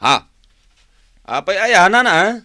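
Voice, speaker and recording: A man's voice: high-pitched, sing-song, measured pace, sharp tone, no room sound, studio quality, full character voice. A man with an Ilocano accent playing a defensive, bumbling husband in a comedy radio drama, full of puzzled protests. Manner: comic puzzled interjections, protesting.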